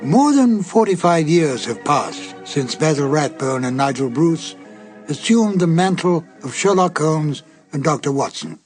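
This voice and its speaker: An older man with a husky voice, hosting with measured, formal, theatrical scripted narration. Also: a little high, big pitch swings, over music. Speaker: host and narrator. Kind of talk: scripted narration introducing vintage radio mystery episodes.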